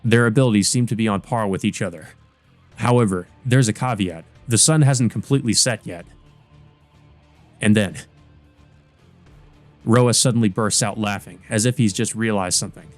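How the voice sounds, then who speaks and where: A man's voice: lowish voice, moderate pace, pauses often. A man doing a let's play, reading visual novel narration aloud and adding casual commentary of his own. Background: game music.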